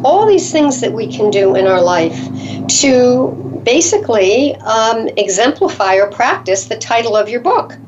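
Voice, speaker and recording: A woman hosting a radio talk show, speaking in an affirming, measured way. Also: fairly high pitch, very animated, darkish tone, slight background noise. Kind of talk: radio interview. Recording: dull lo-fi radio sound.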